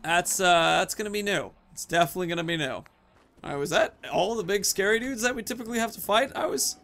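Man in his thirties, slightly high voice, casual gaming host, playful rambling commentary, faint music behind. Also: fast talker, pushed and bright. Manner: rambling, playful, talks to himself and the game.

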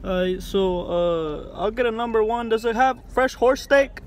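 A man's voice: high-pitched, fairly even intonation, unhurried. A young man pranking drive-thru workers from inside a car, recorded on a phone held close. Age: young adult. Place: inside a parked car at night. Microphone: phone held close in front. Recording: car interior with faint noise.

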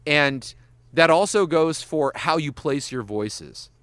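Man, voice actor, casual advice, swears a lot, lively pitch, dry close sound. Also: slow pace, smooth, no echo. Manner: swears freely, animated, demonstrates voices mid-sentence. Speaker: guest voice actor and animator.

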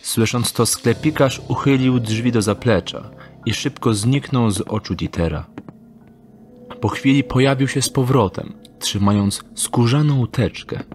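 A man narrating an audio drama in a measured, descriptive way. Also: mid-range voice, a little mellow.

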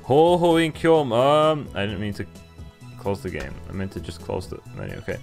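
A man's voice: fairly low pitch, very animated, gravelly, a little mellow, soft background music. A young man giving casual game playthrough commentary and reading text aloud, stumbling a little as he goes. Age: young adult. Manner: halting, stumbling while reading. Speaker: game streamer. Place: indoor room, webcam recording.

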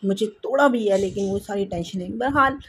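A woman's voice: mid-range voice, a little fast, slight background noise. A woman in her thirties with a sore throat, hosting a home cooking vlog and chatting to viewers about life and faith. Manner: warm religious blessings, chatty reflective. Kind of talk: casual advice chat to viewers. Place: home kitchen, indoors.

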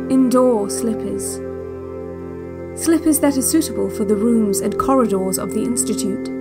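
A woman playing a calm, controlling cassette instructor in an audio drama, giving slow, measured, clinical guided meditation. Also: on the high side. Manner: measured, deliberate, hypnotic, clinical. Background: new-age music.

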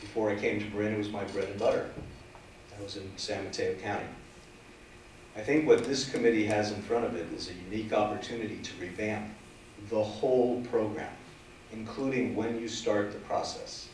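Middle-aged guy, low voice, emphatic public comment, standing away from mic in echoey room. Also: steady tone, fairly quick, a little hiss or hum. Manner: forceful, emphatic, standing and gesturing. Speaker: legal aid director giving public comment. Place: council chamber. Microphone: standing away from desk mic. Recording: echoey chamber, far from mic.

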